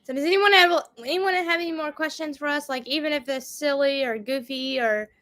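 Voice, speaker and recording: A woman's voice: sits high, fairly quick, fairly bright tone. A woman, casually chatting and reading out viewer questions as co-host of a family channel. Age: 30s. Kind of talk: casual live Q&A chat.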